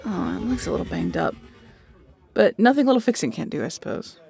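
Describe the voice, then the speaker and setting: A woman voicing a snarky, excitable young scientist in an audio roleplay, chatty and nerdy. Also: fairly low pitch, brisk, gravelly, slightly rough, full character voice. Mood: nerdy, explaining. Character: snarky, excitable young female scientist aboard a pirate ship. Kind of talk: audio roleplay acting addressed to the listener.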